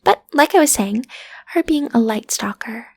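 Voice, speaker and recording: A woman's voice: a little high, animated, moderate pace, vocal fry, darkish tone, no room sound. A woman playing a frazzled, sardonic agency matchmaker in a comedic audio roleplay, chatty and full of flippant, muttered asides to the listener. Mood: wry, flippant.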